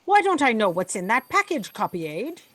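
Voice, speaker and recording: A woman playing a haughty, demanding and suspicious editor-in-chief with a husky voice in an audio drama. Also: fairly high pitch, big pitch swings, moderate pace, no room sound. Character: imperious, suspicious female editor-in-chief boss.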